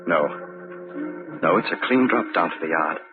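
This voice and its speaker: An actor playing a guilty, paranoid husband in an old-time radio drama, outwardly polite but unraveling. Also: male voice, sits low, animated, normal speed, music in the background. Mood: frantic, unraveling. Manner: agitated muttering to himself, swinging into cries. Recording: dull, noisy old radio recording.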